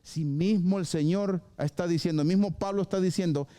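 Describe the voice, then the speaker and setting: A male pastor preaching to his congregation at a live church service. His voice sits high for a man but has a soft, mellow tone. He preaches in a lively way, acting out quick exchanges and slipping English phrases into the sermon. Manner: lively, acts out short dialogues and slips into English phrases.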